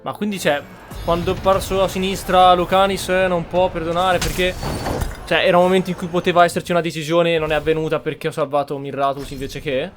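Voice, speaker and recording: An Italian man comments casually on a game he is playing. He talks fast in a slightly high voice, with clear music behind him. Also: little pitch movement. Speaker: video game streamer.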